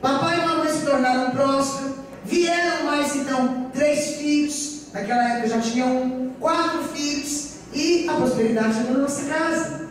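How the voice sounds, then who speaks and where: A woman's voice: high voice, normal speed. A forty-year-old woman preacher with a Goiás Brazilian accent, giving an emphatic, repetitive sermon built on personal testimony. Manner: emphatic, repetitive oratory with rhetorical questions to the congregation. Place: church during a live service. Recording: echoey church hall, distant mic, faint noise.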